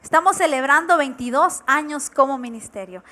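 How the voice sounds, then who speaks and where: A woman's voice: sits high, normal speed, sharp tone. A woman, co-hosting a church TV program and telling its story earnestly. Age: 20s. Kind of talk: warm testimonial talk on TV.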